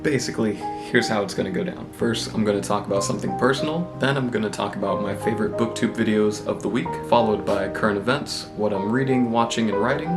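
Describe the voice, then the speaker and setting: Congested man with a gravelly low voice. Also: quick pace, hardly any pauses, over loud music. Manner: relaxed, rambling, self-deprecating. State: congested with a cold. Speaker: booktube vlogger. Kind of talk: casual vlog chat.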